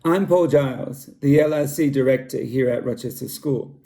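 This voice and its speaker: A middle-aged Australian man giving a friendly, measured talk to students about his country as a school library director. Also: medium pitch, gravelly, mellow. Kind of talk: scripted cultural presentation for students.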